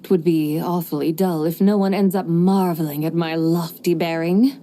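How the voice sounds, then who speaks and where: A woman's voice: on the low side, steady tone, moderate pace, noisy background, natural voice. A woman voicing an ancient, playful female horse spirit who likes to show off, speaking in archaic, poetic phrasing with a wry, amused tone.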